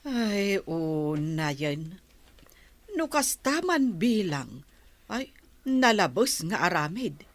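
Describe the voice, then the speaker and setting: A woman's voice: lowish voice, big pitch swings, very slow, dry recording, heavily acted. A woman playing a disapproving village woman hearing gossip in a radio drama, with a dismayed drawl. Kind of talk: Ilocano radio drama acting.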